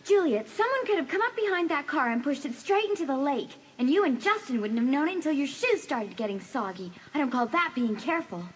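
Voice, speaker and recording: Anxious high-voiced teenage girl. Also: sing-song, a little fast, few pauses. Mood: reproachful, worried. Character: Megan, anxious, earnest, reproachful teenage girl. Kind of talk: dramatised audiobook acting.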